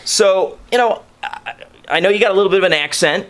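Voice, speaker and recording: A man's voice: high voice, fairly quick, a bit bright, a little hiss or hum. A man hosting a studio interview show, talking in an upbeat, chatty way. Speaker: show host. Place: local radio studio.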